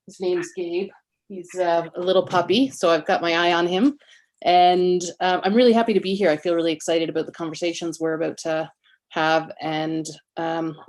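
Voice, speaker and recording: A middle-aged woman, an activist speaking as a panel guest and introducing herself, haltingly searching for words. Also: on the low side, darkish tone. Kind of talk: personal introduction on an online panel.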